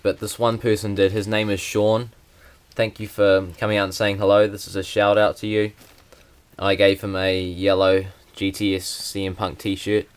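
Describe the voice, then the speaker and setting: Low, flat-voiced young man. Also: normal speed, smooth. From New Zealand. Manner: plain, hesitant, many fillers. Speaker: vlogger. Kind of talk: casual unboxing vlog.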